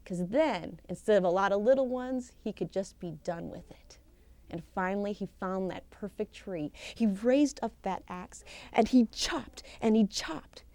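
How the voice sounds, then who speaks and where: Slow, bright-voiced woman telling a folktale. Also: fairly high pitch, dry recording. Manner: expressive, theatrical, with deliberate pauses and emphasis. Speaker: professional storyteller. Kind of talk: dramatic live oral storytelling of a folktale. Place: live storytelling session at a conference.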